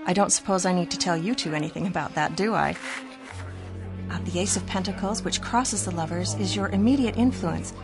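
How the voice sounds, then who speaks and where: A woman's voice: on the low side, lightly acted. Woman as a solemn fortune teller, music behind. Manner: measured, explanatory. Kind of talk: radio drama acting.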